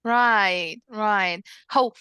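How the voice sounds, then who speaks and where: Bright, crisp-voiced woman moderating. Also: medium pitch, extremely slow, dry recording. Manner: chatty, peppered with "yeah". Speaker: webinar moderator. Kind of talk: online webinar Q&A. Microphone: video call.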